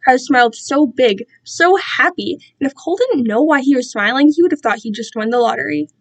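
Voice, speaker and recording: A woman giving an expressive, amateur dramatic reading of a story. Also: high voice, fairly quick. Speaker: amateur fan fiction reader.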